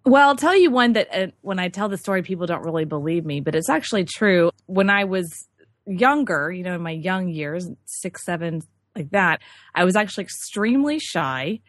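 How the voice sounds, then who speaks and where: A woman's voice: lowish voice, animated, moderate pace, no echo. A woman from Texas with no regional accent, a business coach telling her story as an interview guest in a chatty, candid way with many fillers.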